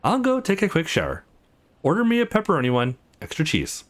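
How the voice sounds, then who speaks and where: A man voicing a tough but secretly soft young woman in a visual novel, blunt, sarcastic and reluctant. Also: on the high side, wildly swooping pitch, fairly quick, pitched above the performer's own voice. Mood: casual.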